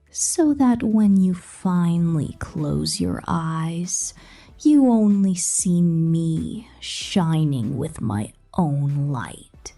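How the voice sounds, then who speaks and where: Female-performed succubus pop star for ASMR roleplay: slow, whispery and breathy, possessive, seductive menace, faint music underneath. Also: fairly low pitch, dark tone, full character voice. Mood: controlling, smug. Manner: slow, deliberate, intimate.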